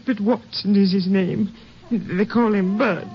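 A woman's voice: mid-range voice, fairly even intonation, unhurried, close to an everyday voice. An actress playing an older, bitter, grieving mother in a 1950s radio drama. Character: bitter, grieving old mother of a murdered daughter. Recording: muffled, noisy vintage radio recording.

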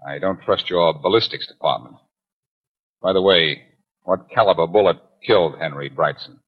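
An actor playing a cocky, wisecracking amateur detective in a glib, teasing 1940s radio drama style. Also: male voice, sits low, moderate pace, husky. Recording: muffled 1940s radio broadcast with music.

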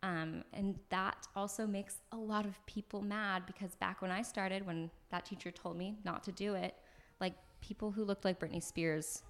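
A young American woman, an actress, chatting as a podcast guest in an animated way, laughing often and filling her talk with "like". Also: medium pitch, moderate pace. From United States. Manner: animated, laughs often, talks in bursts with many "like"s. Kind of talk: casual podcast conversation. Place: floor of an apartment on moving day.

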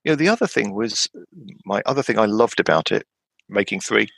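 A man chatting enthusiastically on a podcast about a tabletop game, rambling with many restarts and sudden leaps of pitch. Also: lively intonation, fairly quick, creaky, fairly bright tone, dry recording. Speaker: podcast guest.

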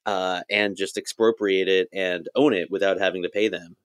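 A man's voice: low voice, steady tone, normal speed, smooth, dry recording. A man speaking as a podcast guest in casual discussion, emphatic and often checking for agreement. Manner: emphatic, seeking agreement with "right?".

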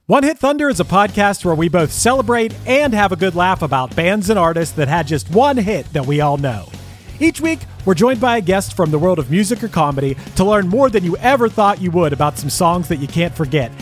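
A man's voice: high voice, expressive, a little fast, soft background music. A man delivering a scripted promo as a podcast host.

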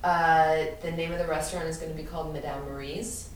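Brooklyn girl with a low voice, chatty and laughy, far from the mic in a really echoey room. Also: fairly even intonation, fairly quick. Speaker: guest artist. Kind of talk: casual podcast chat. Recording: echoey room, distant mic, faint noise.

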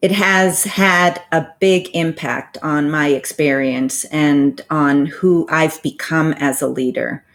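A woman in her forties speaks in a fairly low voice, answering interview questions in a thoughtful, measured way. She pauses on her phrases and drops in casual "you know" asides. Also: steady tone. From United States, parents from Bolivia. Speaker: financial advisor, interview guest.

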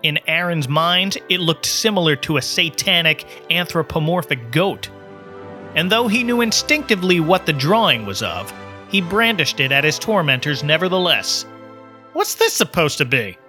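A man narrates a story in a slightly high, bright and crisp-edged voice. His delivery is expressive and measured, like an audiobook reader, with faint music underneath.

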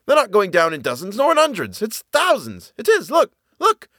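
A man voicing an excited, awestruck English infantryman in a story, bellowing colloquial exclamations. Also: sits high, normal speed, dry recording, clean studio sound, voice pushed up, heavily acted. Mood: awed excitement. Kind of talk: storybook narration with acted voices.

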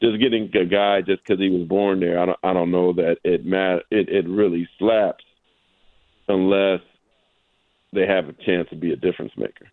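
Male sports pundit, deep voice, dry close sound, conversational and halting, checks in with "right?". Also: fairly even intonation, a little mellow, no room sound, low-quality recording. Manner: halting, repeats words, often tags points with "right?". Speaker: sportswriter guest. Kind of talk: casual basketball discussion.

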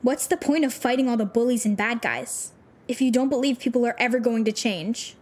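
An actress playing a troubled, disillusioned teenage runaway with superpowers in an audio drama, talking in halting, informal, youthful phrases. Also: female voice, fairly high pitch, darkish tone, lightly acted. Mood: bitter, disillusioned.

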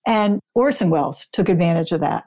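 A woman's voice: fairly low pitch, a little fast, mellow. A woman speaking as an interview guest, an author who explains her research in an informative, detailed way.

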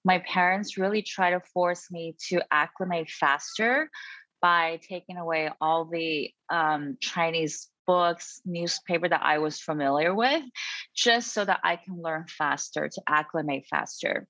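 A woman's voice: on the low side, unhurried, a bit bright, dry recording. A woman speaking as a guest on a panel discussion, thoughtful and reflective.

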